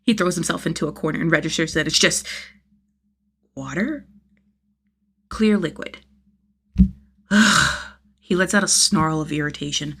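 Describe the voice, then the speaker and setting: A woman reading a story aloud as an expressive scripted narration, with a slightly husky voice. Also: low voice, moderate pace, pauses often. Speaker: audiobook-style narrator of fan fiction.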